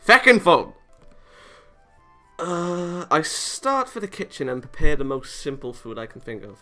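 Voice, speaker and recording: A man's voice: a little high, sing-song, a little slow, bright, forceful tone. A man casually reading a visual novel aloud, dropping wry interjections between the lines. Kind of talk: casual read-aloud commentary. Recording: music behind the voice. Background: game music.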